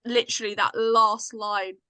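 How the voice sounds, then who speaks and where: Woman chatting away, bright forceful voice, really dry close sound, keen and slangy. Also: a little high, level tone, unhurried, clear, resonant tone, no room sound. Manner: enthusiastic, slangy, emphatic. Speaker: podcast guest, drama writer and cast member. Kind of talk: casual chat.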